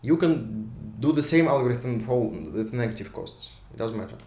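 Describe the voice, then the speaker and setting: A man's voice: medium pitch, expressive, normal speed, mellow, slight background noise. Russian-accented man lecturing in an echoey room. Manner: repetitive restarts, thinks aloud while drawing. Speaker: university lecturer. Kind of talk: classroom lecture at a whiteboard. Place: lecture hall. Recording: echoey room, voice drifts off mic.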